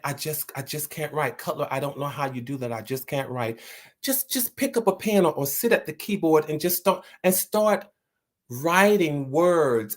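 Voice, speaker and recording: A man talking earnestly to his viewers about writing and then reading one of his own poems aloud in a slow, measured way. He encourages his listeners and often repeats words while he searches for the right ones. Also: a little high. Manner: slow, measured recital when reading the poem. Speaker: poet and channel host.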